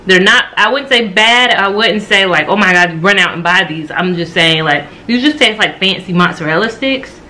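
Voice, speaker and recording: An American woman in her thirties talks in a loose, slangy, conversational way, as if reviewing food for her viewers. Her voice sits at a middle pitch. It is recorded indoors at home, with some background noise. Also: moderate pace, few pauses, bright, forceful tone. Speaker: food vlogger. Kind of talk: casual chat to camera, food review. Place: home dining area and kitchen, indoors.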